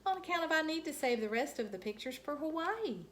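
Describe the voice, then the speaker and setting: A woman voicing an excitable, loud, cheeky first-grade girl in a storybook, exclaiming in childish grammar. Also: high-pitched, fairly quick, slight background noise, voice pushed up. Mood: whiny.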